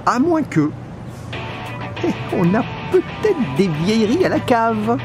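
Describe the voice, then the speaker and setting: A man's voice: very high voice, sing-song, takes it slowly, over music, heavily acted. A man playing a stubborn, dramatic boss of a failing company in an amateur audio comedy, terse and theatrical. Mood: defiant, grandiose. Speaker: voice actor.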